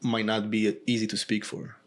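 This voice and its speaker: Calm low-voiced male podcast host. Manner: slow, gentle, facilitating. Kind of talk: calm guided conversation.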